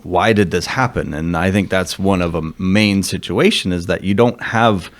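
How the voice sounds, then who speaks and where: A male pastor speaking earnestly as a podcast guest, thinking aloud with many pauses and fillers. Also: low-pitched. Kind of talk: discussion guest.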